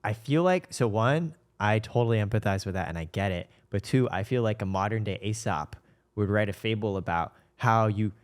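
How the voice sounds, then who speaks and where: A man speaks quickly in a somewhat low voice, in a casual and personal conversation. He comes across as articulate and introspective, reasoning through his points as he talks.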